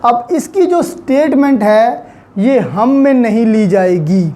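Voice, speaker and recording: A man's voice: very high-pitched, expressive, takes it slowly, dark tone. A man with a Pakistani accent giving an explanatory law lecture, didactic, restating his points and mixing in English terms.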